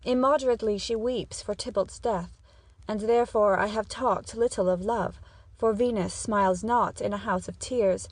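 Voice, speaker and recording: A woman playing a courtly, confident young nobleman in a measured, formal Shakespearean dramatic reading. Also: mid-range voice, breathy edge, no echo, natural voice. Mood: courtly, assured.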